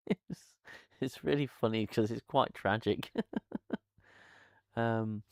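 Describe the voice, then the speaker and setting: A man's voice: mid-range voice, takes it slowly, vocal fry, no echo. Chatty man, dry close sound. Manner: rambling, chatty, frequent restarts and laughter. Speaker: channel host. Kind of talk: casual live-stream chat. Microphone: lavalier mic.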